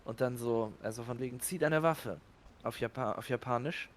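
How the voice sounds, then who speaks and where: A man's voice: mid-range voice, moderate pace, slight background noise. A man rambling casually about a game, often hesitating and restarting his sentences. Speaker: let's play co-host.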